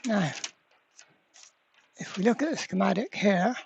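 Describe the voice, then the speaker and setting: A repair channel host giving a casual hands-on demonstration at a workbench, halting and thinking aloud while working. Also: medium pitch, animated, vocal fry, a little mellow, dull audio, slight background noise. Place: indoor workbench.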